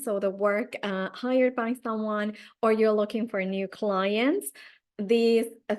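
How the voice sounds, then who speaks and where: A woman from Finland with a Finnish accent, a career coach giving a live-streamed training talk and speaking straight to her viewers in an encouraging way. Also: mid-range voice. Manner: unhurried, encouraging, addresses viewers directly.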